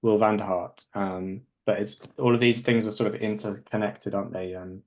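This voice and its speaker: Low-voiced man moderating, dull lo-fi sound. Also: steady tone, normal speed, darkish tone. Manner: encouraging, brief thanks. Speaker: host. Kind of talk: moderating a forum.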